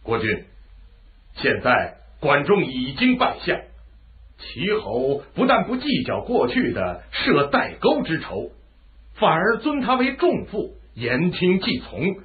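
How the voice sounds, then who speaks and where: A tinny, band-limited recording carries a loyal general of ancient China. His fairly high voice swings very widely as he earnestly and formally addresses his lord, in historical radio drama style. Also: huge pitch swings, slow, exaggerated voice. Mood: earnest, cautioning. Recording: muffled, phone-like lo-fi radio drama audio.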